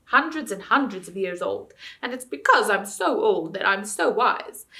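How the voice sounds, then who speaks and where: A woman's voice: a little high, pushed and bright. A woman voicing a scheming, bullying old male ape who sneers and snarls at the other animals.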